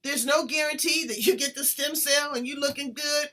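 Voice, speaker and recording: A woman's voice: high-pitched, steady tone, moderate pace, bright, forceful tone. A woman hosting an interview, talking in an emphatic, folksy way and stretching her words. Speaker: host. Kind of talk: casual interview chat.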